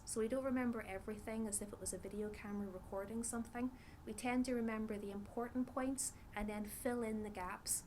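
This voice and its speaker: A woman from Northern Ireland with a Northern Irish accent, a psychology researcher explaining ideas in a measured way with frequent ums. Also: medium pitch, darkish tone, slight background noise, rough recording. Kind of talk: explanatory interview talk.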